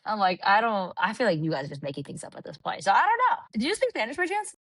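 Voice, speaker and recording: A woman from California, a podcast guest, telling travel stories in an animated, casual way with lots of "like". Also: medium pitch, fast, dry recording. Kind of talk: casual chat. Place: Puerto Rico.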